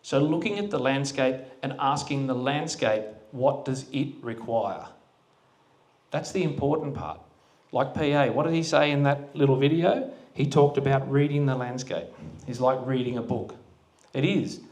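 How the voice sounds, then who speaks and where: A man's voice: mid-range voice, moderate pace, a little mellow. A man giving a casual talk at a farming forum, plain-spoken and quizzing the audience with questions. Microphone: handheld microphone that cut out.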